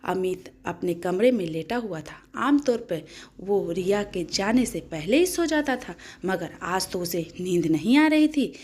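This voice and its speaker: A woman tells a moral story as a dramatic narrator. Her pitch is mid-range but moves in lively swings. The delivery is expressive and emotive, with occasional stumbles over words. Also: husky.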